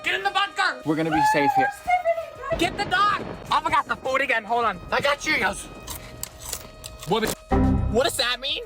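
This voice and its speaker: A woman in frantic, urgent home-video speech, often shouting. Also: sits high, big pitch swings, normal speed, pauses often, over loud music.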